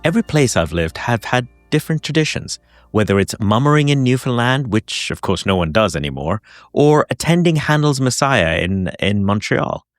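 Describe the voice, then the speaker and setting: A man's voice: mid-range voice, big pitch swings, moderate pace, faint music behind. A man narrating a podcast episode, telling personal holiday stories in a reflective way. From Hong Kong. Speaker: podcast host. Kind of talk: scripted narration.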